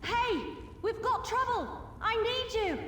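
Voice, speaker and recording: An actress voicing an eager, adventurous young wood elf archer in a video game, bold and excitable. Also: female voice, extremely high pitch, slow pace, long reverb tail, slight background noise, exaggerated voice. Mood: urgent.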